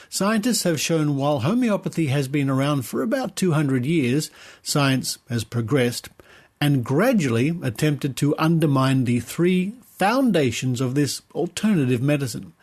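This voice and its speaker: Man, slightly raised pitch, podcast presenter, scripted article reading, deliberate phrasing, stressed key words. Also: darkish tone.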